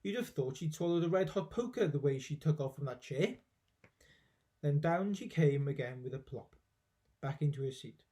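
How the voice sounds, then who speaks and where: Male storyteller, mellow and fairly high-voiced, expressive children's narration with dramatic emphasis. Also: moderate pace. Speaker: teacher reading aloud.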